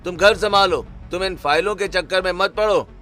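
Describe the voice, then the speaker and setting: A scolding cartoon husband voiced by a male narrator. He is stern and dismissive, fairly high in pitch, over faint music. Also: moderate pace, sharp tone. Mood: angry, bossy. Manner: bossy, stern.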